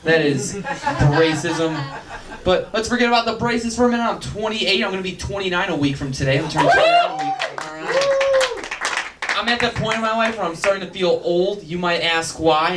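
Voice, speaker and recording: A man in his late twenties doing a stand-up set, nerdy and self-deprecating with quick asides. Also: high-pitched, very noisy. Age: 20s. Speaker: stand-up comedian. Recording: echoey club, far mic, crowd.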